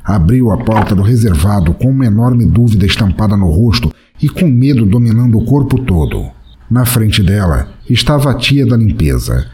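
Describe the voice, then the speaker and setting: A man's voice: fairly low pitch, expressive, normal speed, dark tone. A man with a Brazilian accent narrating dramatically as a podcast host, in a literary, elaborate manner.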